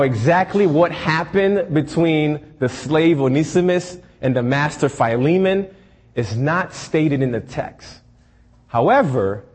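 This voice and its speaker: A young man from Haiti, a church intern, giving announcements and a sermon in an earnest, measured way with frequent ums. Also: a little high, a little mellow, breathy edge.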